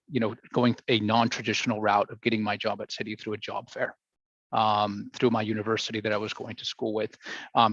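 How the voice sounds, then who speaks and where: Gravelly low-voiced man on a call. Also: a bit bright, no echo, muffled recording. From Brazil. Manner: earnest, many restarts and fillers. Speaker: finance professional panelist. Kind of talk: panel discussion on a video call.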